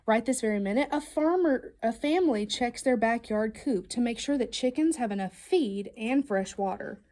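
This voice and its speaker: A woman reads a children's picture book aloud with a fairly high voice. Her pitch moves up and down in a lively way, and she stresses key words like a storyteller engaging young listeners. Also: moderate pace. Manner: expressive, emphatic storytelling delivery with playful questions. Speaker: storybook reader.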